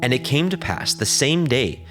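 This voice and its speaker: A man narrating scripture in a solemn, formal and measured reading style. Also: mid-range voice, big pitch swings, fairly bright tone. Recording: music under the voice.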